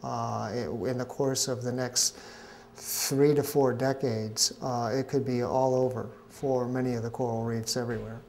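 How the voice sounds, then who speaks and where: A middle-aged man, a scientist being interviewed at a conference pavilion, explaining in a measured way with frequent hesitations. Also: mid-range voice, little pitch movement, vocal fry, darkish tone, a little hiss or hum. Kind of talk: interview answers.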